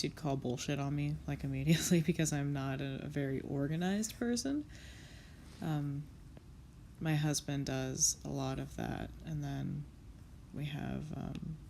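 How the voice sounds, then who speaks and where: Young woman doodling and muttering, low gravelly voice, sniffly from a cold, curses a lot, faint hiss behind. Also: a little mellow. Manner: self-critical, swears casually, muttering under breath. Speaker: comic artist. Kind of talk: casual narration over a drawing session.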